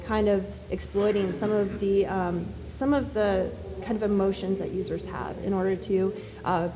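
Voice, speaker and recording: A soft-spoken woman on a panel, answering at length with many fillers and restarts. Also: medium pitch, fairly even intonation, normal speed, crowd noise. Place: conference hall. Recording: distant reverberant hall with faint ambience.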